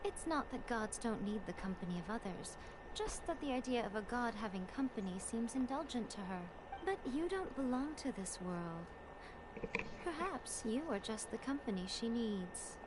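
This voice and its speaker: A woman's voice: a little high, expressive, moderate pace, noisy background, natural voice. A woman voicing a sly, teasing shrine priestess in a game, amused and knowing. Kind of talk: game voice acting.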